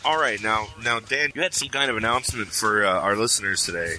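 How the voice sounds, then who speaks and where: A man's voice: fairly low pitch, quick pace, over music, rough recording. A man hosting a casual podcast, teasing his co-hosts and laughing often. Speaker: podcast host.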